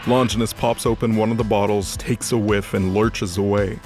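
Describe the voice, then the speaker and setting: A man's voice: fairly low pitch, fairly even intonation, normal speed, darkish tone, soft background music. A man narrating a scripted audio drama as storyteller.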